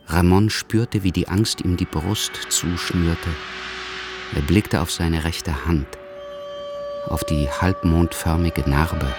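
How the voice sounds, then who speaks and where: An actor narrating a literary radio play in a sombre, measured, scripted manner. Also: male voice, low voice, fairly even intonation, music in the background.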